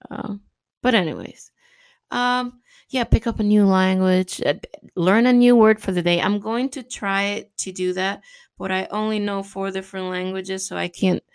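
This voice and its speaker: An upbeat woman, a native Spanish speaker, rambles encouragingly through a casual podcast. Her voice is smooth and clear, and the middling pitch sits in a dry, close recording with no room sound. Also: normal speed, no room sound. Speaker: podcast host. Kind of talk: casual motivational monologue.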